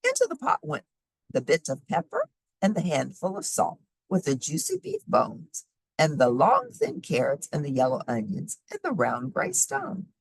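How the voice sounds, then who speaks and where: A woman narrates a children's storybook with hugely animated pitch and a husky tone. The sound is close and dry, and she leans deliberately on repeated phrases. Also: no room sound, low-quality recording. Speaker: storybook reader.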